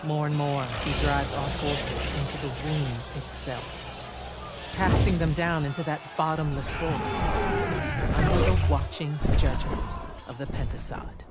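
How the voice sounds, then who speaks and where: An actor playing a commanding male battlemage mentor in a video game, speaking in a measured, grave, explanatory way. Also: on the high side, steady tone, very noisy, natural voice. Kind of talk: cinematic game dialogue.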